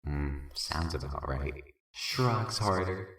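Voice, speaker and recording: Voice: very deep voice, animated, slow pace, fairly bright tone, echoey room, heavily acted. A performer voicing a playful, cocky cat-bird-troll sprite with laid-back, slangy delivery in a fan fiction audio drama. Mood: wry.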